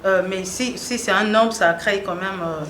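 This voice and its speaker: Interviewer: woman, mid-pitched, attentive and conversational, roomy echo. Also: moderate pace, a bit bright. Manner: attentive, frequent short acknowledgements, rephrases answers. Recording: echoey room, faint background noise.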